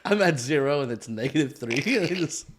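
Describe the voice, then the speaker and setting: Casting: young Bay Area guy, animated pitch, crude jokey podcast host who swears a lot. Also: normal speed, breathy edge. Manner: laughs a lot, swears freely. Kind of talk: casual crude banter.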